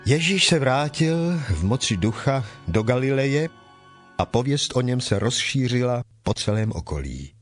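A man narrating scripture from a script in a measured, formal manner. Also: mid-range voice, very animated, soft background music.

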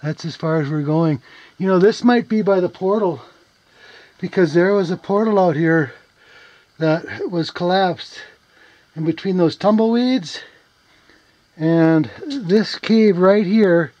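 A man's voice: high voice, slow, long pauses, darkish tone, highs cut off. A man exploring an abandoned mine, casually thinking aloud about what he finds. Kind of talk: casual narration while exploring. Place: inside an abandoned underground mine.

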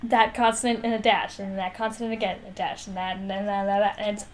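A woman's voice: mid-range voice, flat delivery, quick pace. A woman co-hosting a podcast, chatting casually about a book in a sarcastic, slangy, sweary manner. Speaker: podcast co-host. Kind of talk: casual book discussion chat.

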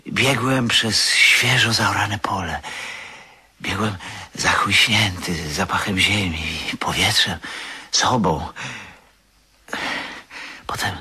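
Radio drama: wistful drunken barfly, rough husky voice with a sharp, bright edge, halting and confessional. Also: male voice, low voice, heavily acted. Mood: rapturous, nostalgic. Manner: halting, repeats words, swells from whispers to cries. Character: drunken, wistful regular at a bar, confessing his past. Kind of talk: Polish radio drama acting, 1970s.

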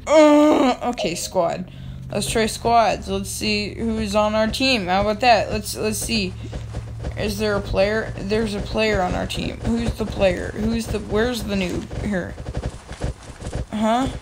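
A young woman with a slightly raspy voice, giving casual commentary over her own gameplay and yelling at other players. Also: mid-range voice, slight background noise, low-quality recording. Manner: excitable, yells at other players, quick laughs. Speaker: gaming channel host.

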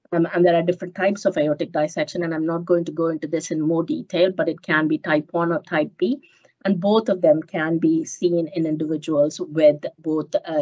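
A woman with a cold and a slightly nasal voice, giving an online medical lecture in a measured way with many ums. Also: sits low, darkish tone. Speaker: clinical geneticist giving a talk. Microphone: headset on a video call. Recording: muffled video call audio.

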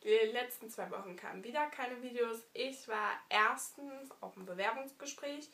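A woman's voice: a little high, normal speed, a bit bright. Young woman with a stuffy cold nose. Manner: chatty, affectionate, hesitant with many fillers. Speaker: vlogger. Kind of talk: casual year-review vlog. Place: living room, indoors.

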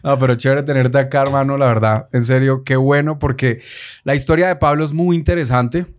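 A man in his thirties with a Colombian accent, hosting a podcast in relaxed, slangy casual chat, recorded on a close desk mic in a small studio. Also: medium pitch, a little fast. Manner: relaxed, slangy, laughs often. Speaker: podcast host.

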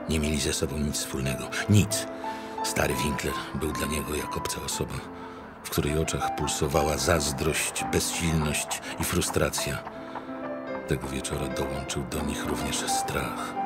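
A man performing as the grave narrator of a dark mystery audio drama, reading scripted literary prose. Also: a bass voice. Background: loud music.

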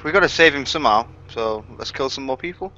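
A man's voice: mid-range voice, lively intonation, quick pace, pushed and bright, slight background noise. A young man, casually improvising his part in a video game roleplay. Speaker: gaming roleplayer. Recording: lo-fi game mic, music behind.

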